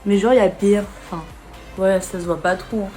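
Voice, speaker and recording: A young woman with a hoarse voice, chatting casually to camera in a beauty vlog, full of slang and swearing. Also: mid-range voice, fairly even intonation, a little fast, darkish tone, faint music behind. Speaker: beauty vlogger.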